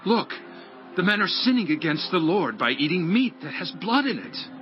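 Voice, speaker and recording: An actor playing an alarmed informant in a dramatized audio Bible, speaking with urgency. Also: male voice, high voice, big pitch swings, moderate pace, slightly rough, lo-fi sound, exaggerated voice. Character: alarmed informant reporting sin to the king.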